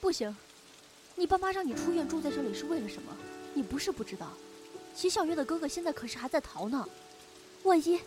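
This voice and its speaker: High-voiced, protective young woman, acted. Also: sing-song, quick pace, long pauses, music in the background. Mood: firm, earnest. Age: young adult. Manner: earnest, reassuring. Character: protective, steadfast young woman, loyal best friend. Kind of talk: Chinese audio drama acting.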